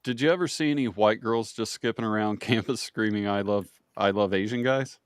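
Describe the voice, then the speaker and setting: A man's voice: lowish voice, a little fast, smooth, no room sound. Wry man asking teasing questions. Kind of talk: casual live-stream banter.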